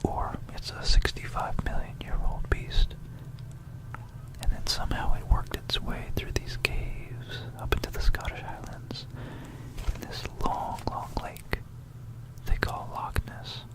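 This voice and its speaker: A breathy, unvoiced whisper from an ASMR host, recorded close on a stereo microphone. The delivery is very slow and hushed, with a conspiratorial manner. It is educational narration meant to be relaxing. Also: low-quality recording. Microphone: close stereo binaural microphone.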